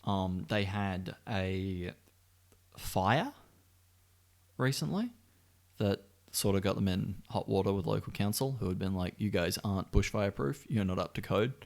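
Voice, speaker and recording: Male podcast co-host, low gravelly voice, wry, sweary, informal banter. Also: expressive, a little slow, darkish tone. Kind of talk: casual chat.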